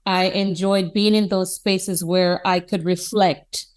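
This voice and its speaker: A woman from Jamaica with a Jamaican accent, an institute president telling childhood stories in an expressive, animated way during an interview. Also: lowish voice, takes it slowly. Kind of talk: conversational interview.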